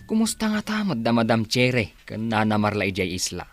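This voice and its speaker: A man playing an obedient, slow-witted henchman, deferential and questioning, in a radio drama. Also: medium pitch, big pitch swings, soft background music, lightly acted. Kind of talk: Ilocano radio drama acting.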